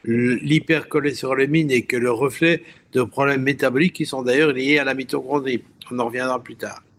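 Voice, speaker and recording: A man speaking as a health expert guest on a radio show, explaining science conversationally in a didactic, digressive way, often checking in with the listener and pausing to search for words. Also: medium pitch, steady tone, a bit bright.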